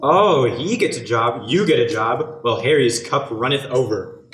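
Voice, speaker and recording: A man's voice: medium pitch, unhurried. Young man acting folksy uncle in echoey room. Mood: wry. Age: young adult. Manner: chatty, excitable, colloquial. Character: folksy, easygoing uncle in his fifties. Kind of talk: amateur radio play acting. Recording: echoey room, faint noise.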